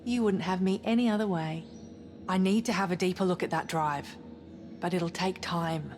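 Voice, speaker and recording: A woman's voice: lowish voice, normal speed, darkish tone, faint music behind, lightly acted. A woman playing a rebellious, cocky, suspicious science officer in a sci-fi game, with an insistent, cheeky delivery. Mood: sly, teasing. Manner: insistent, cheeky, foul-mouthed. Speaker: science officer on a spaceship crew. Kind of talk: naturalistic dramatic game cutscene acting.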